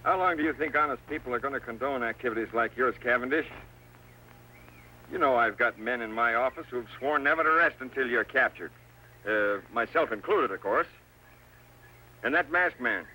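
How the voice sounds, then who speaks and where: Defiant lawman, indignant, piercing mid-pitched voice, vintage radio western, light noise. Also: moderate pace, pushed and bright. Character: defiant, indignant frontier sheriff held captive. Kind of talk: old-time radio western acting. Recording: noisy old radio broadcast.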